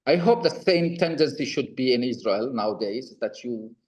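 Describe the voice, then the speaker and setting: A middle-aged Lebanese man, Arabic his first language, talking on a video call in a halting, wry way with many fillers and restarts. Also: mid-range voice, animated, a little mellow, muffled recording. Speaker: presenter.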